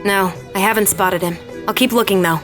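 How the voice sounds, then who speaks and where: An actress playing an exasperated, sharp-tongued young adventurer in a fantasy audio drama, with a sarcastic, scolding manner. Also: female voice, medium pitch, fairly quick, faint music behind, close to an everyday voice. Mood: alert.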